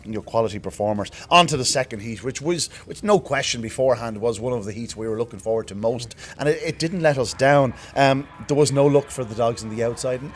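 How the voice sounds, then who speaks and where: Fast-talking hoarse Irish man presenting. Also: mid-range voice. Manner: quick, detailed talk about race times. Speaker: racing show presenter. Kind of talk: post-race review and analysis.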